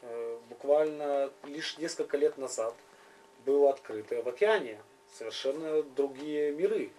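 Mellow man, slightly off-mic. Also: mid-range voice. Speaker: interviewer and interpreter. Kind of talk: informal spoken interpreting and interviewing. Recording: slightly distant, faint noise and hum.

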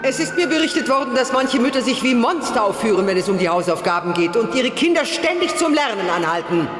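An actor playing an imperious, scheming ruler of a dark kingdom in an audio drama. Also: medium pitch, animated, fast talker, lightly acted. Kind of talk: scripted German audio drama acting. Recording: echoey reverberant hall, faint music.

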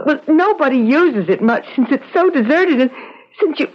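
Very high-voiced anxious wife. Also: female voice, expressive, moderate pace. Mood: distraught. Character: anxious, loving young wife, fretful and questioning. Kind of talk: 1970s radio drama acting. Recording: muffled old radio broadcast.

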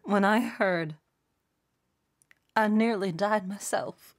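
A woman playing a tender, bereaved lady friend in a mock documentary audio drama, mournful and soft-spoken. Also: mid-range voice, slow pace, dry recording, studio quality, natural voice. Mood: sad, consoling.

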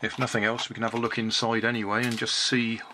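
An older British man narrating a hands-on repair in a casual, unhurried, matter-of-fact way. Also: mid-range voice, flat delivery. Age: 60s or older. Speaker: hobbyist repairing and reviewing a toy. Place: cluttered indoor hobby workbench. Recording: noisy room with clicks and handling sounds.

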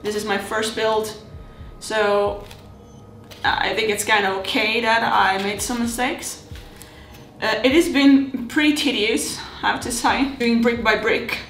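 A woman's voice: on the high side, fairly even intonation, a bit bright, faint music behind. Chatty woman in an echoey hobby room. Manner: chatty, halting, frequent restarts and fillers. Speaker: miniature terrain hobbyist. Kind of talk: casual hobby vlog narration.

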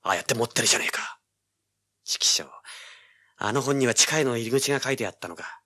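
An actor playing a cocky, tough young treasure hunter, rough-spoken and sardonic, narrating and speaking in a hard-boiled audio drama. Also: male voice, mid-range voice, expressive, fast talker, bright, forceful tone. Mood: irritated. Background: dramatic music.

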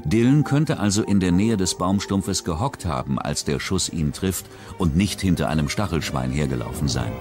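A man reading a scripted true-crime documentary narration in a measured, even way. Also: deep voice. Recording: music bed under the voice. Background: documentary music.

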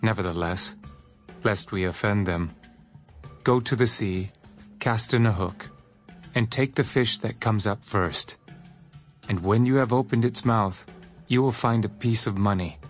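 An actor playing Jesus as a solemn, authoritative teacher, speaking in a measured way in a dramatized scripture reading. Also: male voice, lowish voice, lightly acted. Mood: teaching. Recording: music behind the voice.

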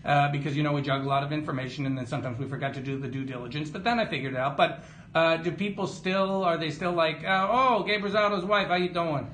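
A man's voice: fairly high pitch, fast, fairly bright tone. A man hosting a boxing podcast, asking rambling, joking questions in a casual interview. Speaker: podcast host, boxing journalist. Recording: roomy studio with faint hum.

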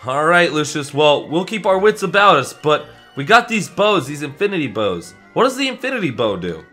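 A man plays an eager young superhero in a game roleplay. His voice is bright and a little high, with music underneath. He chats excitably, like a friendly buddy on an adventure. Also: normal speed, full character voice. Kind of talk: improvised Minecraft roleplay, casual chatter.

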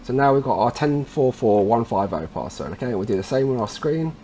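A man with a soft-edged, mellow voice talks viewers through a software setup step by step. He keeps it informal, like chatting to fellow installers. Faint noise and keyboard clicks sit behind his voice. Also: mid-range voice, expressive, brisk. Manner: informal, addresses viewers as guys. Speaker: tutorial presenter, installer trade. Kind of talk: casual step-by-step software tutorial.

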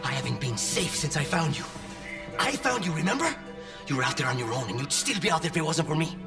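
A man voicing a frightened, bitter pilot who speaks sarcastically and breaks into desperate shouts. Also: fairly high pitch, sing-song, fast, vocal fry, distant mic. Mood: angry despairing. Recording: game audio with music, off-mic.

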